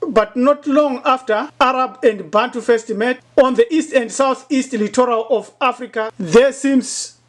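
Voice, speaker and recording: A woman with a fairly high voice reads a dense, academic passage aloud. She keeps a steady pace through the long sentences.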